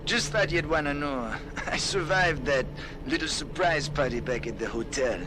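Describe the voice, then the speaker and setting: Sly cocky hacker played by a man, highish, unhurried, taunting game delivery, clear background noise. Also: natural voice. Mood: smug, taunting. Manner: taunting, drawn-out, breezy. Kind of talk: video game voice acting. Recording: noisy vehicle ambience.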